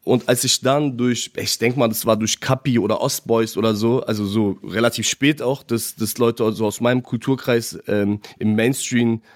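A young man who came to Germany as a child, chatting casually as a podcast guest with animated, halting speech full of restarts. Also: mid-range voice, dry recording, studio quality. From abroad, came to Germany as a child.

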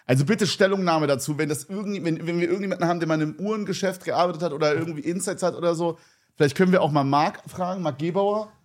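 A young man co-hosting a podcast, chatting in a rambling, excitable, slang-heavy way. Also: a little high, rapid-fire. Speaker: podcast co-host. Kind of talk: casual podcast chat.

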